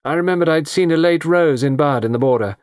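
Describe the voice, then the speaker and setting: A man's voice: on the high side, fast, a little mellow, clean tone, no echo, studio quality, voice pushed up, lightly acted. A man voicing a cool, haughty young woman who answers curtly. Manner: cool, curt, clipped replies. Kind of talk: audiobook character voice.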